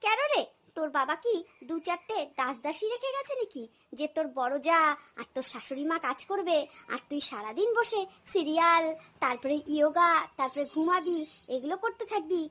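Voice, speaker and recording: A woman's voice: very high voice, animated, normal speed, fairly bright tone. A woman voicing a stern, exasperated older mother-in-law in a cartoon comedy, nagging with blunt sarcasm. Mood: scolding, exasperated. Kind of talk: cartoon family comedy dialogue.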